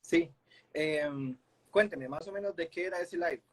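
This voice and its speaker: A man's voice: a little high, a little slow. A Costa Rican man with a Costa Rican accent hosting a casual video-call interview, friendly, asking open questions. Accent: Costa Rican Spanish. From Costa Rica. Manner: friendly, asks open questions with short backchannels. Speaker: host. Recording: faint background noise.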